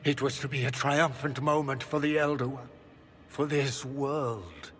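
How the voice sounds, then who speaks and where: An actor playing a middle-aged, courtly and condescending magister, a scheming villain in a fantasy video game. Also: male voice, medium pitch, measured pace, fairly bright tone, close to an everyday voice. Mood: scornful. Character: haughty, scheming Tevinter magister, smooth-talking villainous father. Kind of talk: dramatic video game acting. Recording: long hall reverb, music behind.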